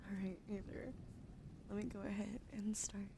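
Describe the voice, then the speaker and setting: A woman hosting an ASMR livestream, chatting casually to viewers in a gentle, soft-spoken way that often drops into breathy whispers, close on a sensitive microphone. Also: mid-range voice, fairly even intonation, normal speed, background noise.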